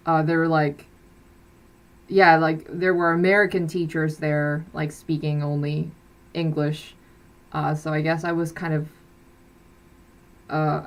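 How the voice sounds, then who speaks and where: A young woman from South Korea, a native Korean speaker, chatting casually on a live stream from her bedroom, rambling with many fillers and restarts. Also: low-pitched. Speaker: livestream host. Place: bedroom with blackout curtain.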